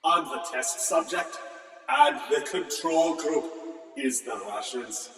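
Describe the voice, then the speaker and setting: A man's voice: on the high side, animated, slow pace, a bit bright, roomy sound, long reverb tail, distant mic, soft background music. A man voicing a boastful one-eyed Irish astronaut puppet on a space station, with an Irish accent and a rollicking storyteller lilt.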